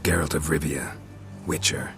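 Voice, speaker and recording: An actor playing a gruff, laconic monster slayer in a video game, speaking in terse, dry fragments. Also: male voice, rumbling low, fairly even intonation, normal speed, fairly bright tone, soft background music. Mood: dry, wary. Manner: terse, dry, drops words from sentences. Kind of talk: video game dialogue acting.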